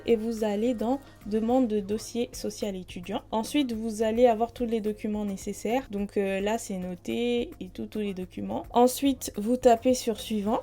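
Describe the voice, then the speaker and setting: A young woman with a warm, mellow voice narrates a screen walkthrough in a relaxed, guiding way. Faint music plays underneath her voice-over. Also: fairly high pitch. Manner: casual, guiding, with hesitations. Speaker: student vlogger.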